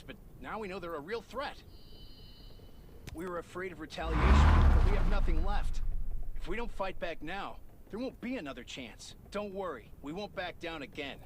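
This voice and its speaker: A bitter grieving survivor guard in a game, voice a bit high, faint noise behind. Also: male voice. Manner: bitter, resolute. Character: angry, grieving male survivor guard of a raided outpost. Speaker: game character. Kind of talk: dramatic video game dialogue.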